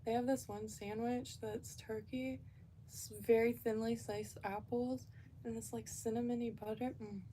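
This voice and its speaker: A woman's voice: fairly high pitch, little pitch movement, measured pace, warm tone, slight background noise. Rambling Florida woman on a stream. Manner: rambling, many fillers and restarts. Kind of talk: casual live-stream chat.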